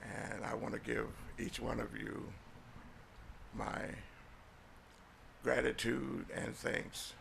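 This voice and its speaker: An American man, a pastor, giving a solemn, deliberate speech of thanks with halting phrasing at a council meeting. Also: on the low side, level tone, vocal fry, fairly bright tone, slight background noise. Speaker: pastor, guest speaker. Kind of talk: formal thank-you speech with blessing. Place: city council meeting room.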